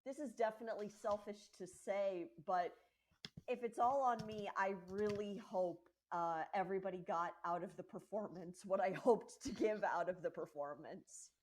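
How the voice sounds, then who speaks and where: Mid-pitched woman in casual cast chat. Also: normal speed, darkish tone. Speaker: voice actor.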